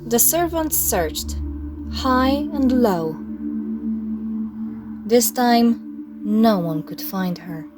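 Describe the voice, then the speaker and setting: A woman narrating a children's story in a measured, expressive read-aloud style. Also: on the high side. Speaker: storybook reader. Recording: music playing behind the voice. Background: music.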